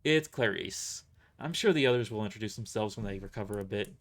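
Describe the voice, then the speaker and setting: A man's voice: fairly low pitch, expressive, fairly quick. A man voicing an earnest female soldier in an audio drama, speaking in a hesitant, polite and sincere way.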